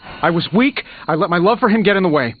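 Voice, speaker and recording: An actor playing a proud, guilt-ridden genius superhero in a video game, sarcastic, emphatic and hard on himself. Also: male voice, high-pitched, expressive, quick pace. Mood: bitter self-blame. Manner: sarcastic, self-reproaching, emphatic. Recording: muffled game audio under music.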